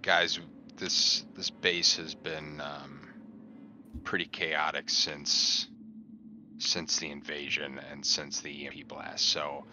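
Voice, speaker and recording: A man with an extremely deep voice and a hard, forceful edge plays a tabletop roleplaying game. He talks in a halting way over background noise. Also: level tone. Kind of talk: in-character game table chat. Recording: clear background noise and ambience.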